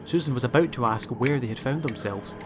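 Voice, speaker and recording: A man's voice: medium pitch, fairly quick, background noise. A man narrating a scripted audiobook story in a dramatic storytelling style. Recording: music behind the voice.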